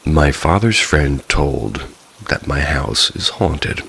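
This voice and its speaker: A man's voice: extremely low pitch, slow pace, creaky, slight background noise. A man narrating first-person ghost stories from a script, in the style of a bedtime listen. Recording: steady rain ambience behind the voice. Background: rain falling.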